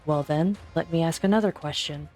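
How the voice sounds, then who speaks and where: A woman acts out an analytical investigator in a game drama. Her voice is on the low side, level-headed and direct, with faint music underneath. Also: fairly quick, a little mellow. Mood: probing. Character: composed, analytical young female investigator, probing and direct. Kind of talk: amateur fan dub game drama acting.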